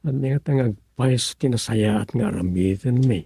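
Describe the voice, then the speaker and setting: A man's voice: medium pitch, moderate pace, creaky, mellow, breathy edge. A man playing a scheming villager in an Ilocano radio comedy drama, musing and muttering with exclamations. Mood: musing, plotting.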